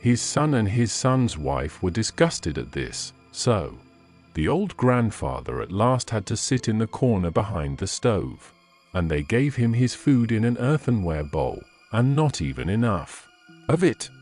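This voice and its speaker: Male audiobook narrator, fairy tale reading, measured phrasing, animated intonation, slightly low pitch.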